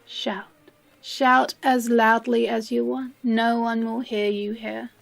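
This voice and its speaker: A woman playing an obsessive, possessive librarian captor in a dramatic audio roleplay, breathy and often whispering, with theatrical pauses and a tenderly menacing manner. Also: on the high side, little pitch movement, faint music behind. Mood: indignant, hurt.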